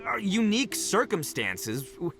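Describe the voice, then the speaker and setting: An actor playing a brash, good-hearted young oni gang boss in a video game, with a boisterous, swaggering, slangy way of talking. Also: male voice, high-pitched, very animated, a little slow, vocal fry, fairly bright tone, faint music behind, exaggerated voice. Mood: flustered, breezy. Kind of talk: theatrical video game voice acting.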